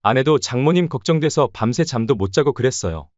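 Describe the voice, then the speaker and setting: Story role: man as defensive adult son, placating, mid-pitched but very varied in intonation, slightly dull sound. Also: animated, brisk, darkish tone, no room sound, natural voice. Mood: cautious. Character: evasive adult son excusing himself to his mother. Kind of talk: dramatized story dialogue.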